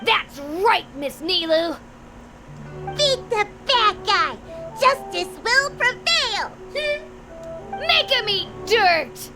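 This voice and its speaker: Music is clear behind a feisty little child in an anime game. The voice is extremely high and swings widely, full of excitable energy. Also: female voice, extremely slow, long pauses, full character voice. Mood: excited, feisty.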